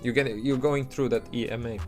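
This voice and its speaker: A man's voice: mid-range voice, fairly even intonation, a little fast, faint music behind. A man with an Estonian accent, talking in a loose, chatty way as he streams live and answers his chat, with many restarts and fillers. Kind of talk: casual live-stream commentary.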